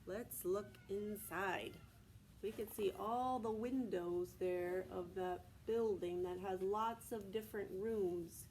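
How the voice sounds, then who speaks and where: A woman's voice: medium pitch, slow, darkish tone, slight background noise, low-quality recording. An American woman, a preschool teacher from Texas, reads aloud to young children in simple phrases, stopping to explain and ask questions. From Texas, United States.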